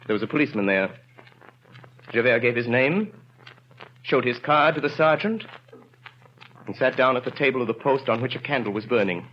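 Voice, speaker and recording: A man narrating a literary radio drama of the 1930s in a grave, theatrical manner with measured phrasing. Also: mid-range voice, slight background noise. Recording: muffled 1930s radio recording.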